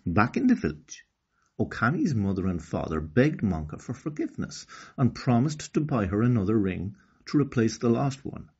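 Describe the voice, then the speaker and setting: An Irish man reading a children's story aloud in an expressive, deliberate storybook delivery. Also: fairly low pitch, slightly rough. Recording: slightly dull lo-fi recording.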